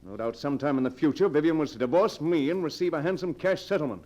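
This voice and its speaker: High-voiced, indignant wronged husband played by a man. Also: fast talker, darkish tone, close to an everyday voice. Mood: bitter, sarcastic. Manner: formal, pointed, dramatic phrasing. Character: wealthy betrayed husband, formal, indignant, vengeful. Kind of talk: golden age radio drama acting. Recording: muffled old radio show recording.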